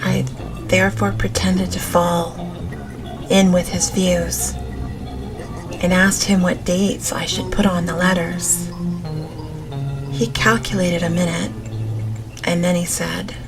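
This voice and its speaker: A woman reading a gothic novel as an audiobook in a gentle, measured manner. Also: fairly low pitch, little pitch movement. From Canada. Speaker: audiobook narrator. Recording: music behind the voice. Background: music.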